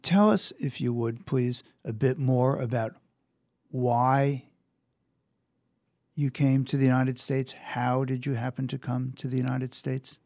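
A man interviewing a guest, asking gentle, careful questions. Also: medium pitch, steady tone, unhurried, no room sound.

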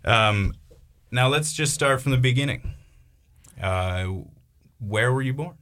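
A man hosting a community radio interview, asking questions in a friendly, steady way. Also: mid-range voice, slow pace. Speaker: radio host.

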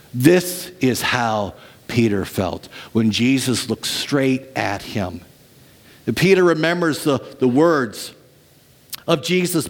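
A man preaching a sermon to his church as pastor, telling stories dramatically and swinging from lively to hushed. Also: mid-range voice.

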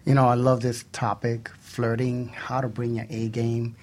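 A man's voice: mid-range voice, monotone, normal speed, a little mellow. A man co-hosting a radio talk show in a conversational, bantering style.

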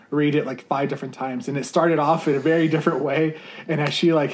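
A man's voice: mid-range voice, darkish tone. A young adult man, a campus ministry leader, answering interview questions in an earnest, searching way with many fillers and restarts. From United States.

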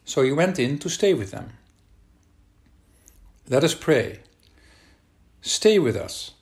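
Calm Dutch-accented minister praying. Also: male voice, a little high, slow pace. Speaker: minister leading worship. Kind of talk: online worship service, prayer and scripture reading.

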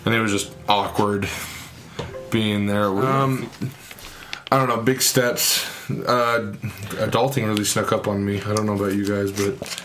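A man's voice: lowish voice, steady tone, fairly bright tone, slight background noise. A young man in his early twenties, co-hosting a podcast in casual chat, rambling with many restarts and laughs. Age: young adult. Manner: rambling, halting, frequent laughs. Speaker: podcast co-host.

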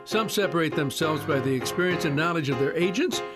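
A man's voice: fairly high pitch, animated, brisk. An American man reading a scripted radio advertisement as an announcer. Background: loud guitar music.

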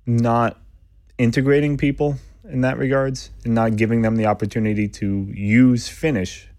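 A man speaking as a podcast guest in a relaxed, thoughtful interview conversation. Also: medium pitch, no echo, studio quality. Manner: thoughtful, measured, occasional laughs.

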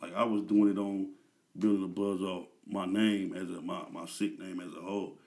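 A man in his thirties with a fairly low voice, chatting casually as an interview guest. He talks in a relaxed, slang-filled way, full of fillers. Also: normal speed. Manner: laid-back slang-heavy talk with frequent fillers.

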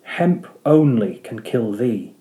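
A man's voice: medium pitch, animated, slow pace, dark tone. Man acting a solemn, ominous seafaring prophet. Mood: grave warning. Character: mysterious prophetic male harpooner, solemn and ominous.

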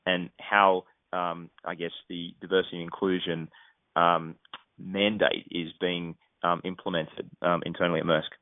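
A man's voice: sits low, no echo, phone-quality sound. A man hosting a professional interview, politely putting his questions with some hesitant phrasing. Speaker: interviewer, host.

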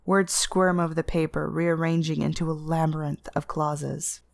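A woman reading literary first-person fiction as narrator, with an intense, deliberate and expressive dramatic delivery. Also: sits low, vocal fry, dry recording. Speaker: story narrator.

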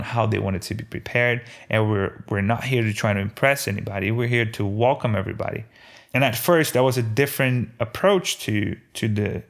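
A man from Brazil with a faint Brazilian accent, speaking casually in an interview as a restaurant owner, haltingly and repeating words as he thinks. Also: lowish voice, creaky. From Brazil, Belo Horizonte. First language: Portuguese.

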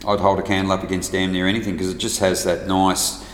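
A man's voice: sits low, little pitch movement, fast talker. A man with an Australian accent chatting in a loose, blokey, joking way as an interview guest. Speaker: distillery brand ambassador, guest. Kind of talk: loose, jokey casual chat. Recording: echoey room, faint background noise.

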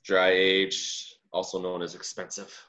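Low bright-voiced man in his thirties. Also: completely flat delivery, unhurried. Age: 30s. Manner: hedging, self-deprecating, easygoing. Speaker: guest. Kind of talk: casual chat.

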